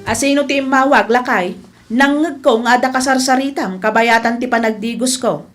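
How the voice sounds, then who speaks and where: A woman's voice: a little high, normal speed, music in the background, lightly acted. A woman acting a chatty, eager rural wife in a comedy radio drama, with an Ilocano accent. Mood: nosy, indignant.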